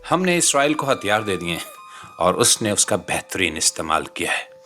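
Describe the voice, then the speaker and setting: Deep, forceful Pakistani male commentator. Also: very animated, normal speed, soft background music. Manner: indignant, dramatic rhetorical delivery with formal literary vocabulary. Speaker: commentator, channel presenter. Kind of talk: scripted political commentary voice-over.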